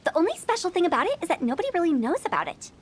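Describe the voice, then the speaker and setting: A teasing, mischievous young funeral director. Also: female voice, extremely high pitch, big pitch swings, rapid-fire, slight background noise. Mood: playful. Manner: teasing, giggly, mock-spooky. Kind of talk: video game voice acting.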